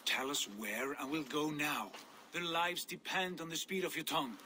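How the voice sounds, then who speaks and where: An actor playing a proud, secretive Norse jarl in a video game, commanding and insistent. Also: male voice, on the high side, lively intonation, moderate pace, pushed and bright, a little hiss or hum. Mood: urgent command.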